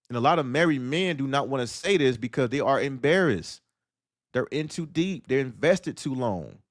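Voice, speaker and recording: A man's voice: medium pitch, dry recording, clean studio sound. A man hosting a solo audio livestream, delivering a blunt, preachy monologue that fires off rhetorical questions. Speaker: livestream host.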